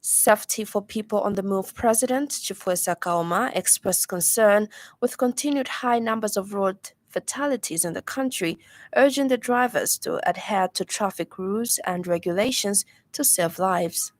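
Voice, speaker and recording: A woman news anchor reading a radio news bulletin in a measured, formal delivery from a studio. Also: medium pitch, no echo. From Zambia.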